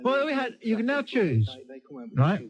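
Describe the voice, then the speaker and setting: A man's voice: extremely high pitch, big pitch swings, unhurried, crowd noise. An English man hosting a live radio phone-in, bantering and telling anecdotes in a cheeky, teasing, sardonic way. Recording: dull lo-fi radio broadcast recording.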